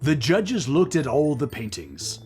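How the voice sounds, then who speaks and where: A man reading a children's story aloud in an animated, expressive way, with playful banter as a co-host. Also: medium pitch, unhurried, faint music behind. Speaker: children's book reader and comedy co-host.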